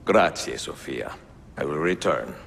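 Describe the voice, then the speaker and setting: An actor playing a courteous, gallant middle-aged Italian man in a video game, with a gravelly voice and an Italian accent. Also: very deep voice, expressive, unhurried, a bit bright, slight background noise, heavily acted. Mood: gentle, admiring. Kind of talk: video game cutscene acting.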